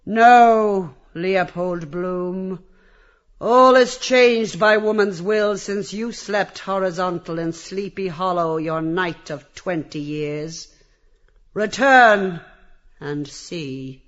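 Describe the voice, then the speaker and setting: A man's voice: high-pitched, slow pace, a bit bright, highs cut off, voice pushed up. A man voicing a cruel, jeering brothel boss who barks and taunts like an auctioneer in a theatrical audiobook. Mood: scornful, ruthless. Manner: taunting, bullying, theatrical barking. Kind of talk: theatrical audiobook character reading.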